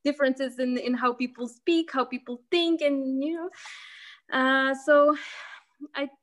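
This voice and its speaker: A woman's voice: sits high, fairly even intonation, measured pace. A young woman from Lithuania in a casual interview, talking hesitantly with many fillers and restarts. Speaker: podcast guest.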